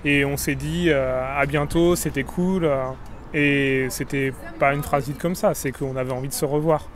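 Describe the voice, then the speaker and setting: Man, early 30s, slightly high voice, relaxed chat, outdoors in the city. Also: moderate pace. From France. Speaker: interviewee.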